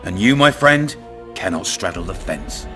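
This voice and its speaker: An actor with a British accent playing a crude, cunning, menacing town commandant in a video game. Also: male voice, deep voice, animated, moderate pace, sharp tone, faint music behind. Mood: forceful, contemptuous. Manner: domineering, coarse, swaggering. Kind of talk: video game dramatic dialogue.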